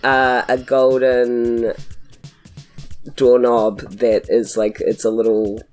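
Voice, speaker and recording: Female tabletop podcast player, very low pitch, unhurried, hesitant casual chat, thinking out loud. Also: little pitch movement, faint music behind.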